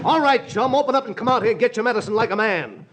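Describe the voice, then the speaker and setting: A man's voice: extremely high pitch, lively intonation, fast, background noise. An actor playing a cocky, hot-headed, jealous businessman in a 1940s radio comedy, swaggering and threatening. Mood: blustering, angry.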